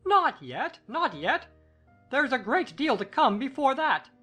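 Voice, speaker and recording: A woman's voice: high-pitched, big pitch swings, measured pace, bright, forceful tone, breathy edge, soft background music, heavily acted. A woman playing an officious court herald, declaiming formally in an audio drama. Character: high-voiced, officious court herald announcing proceedings.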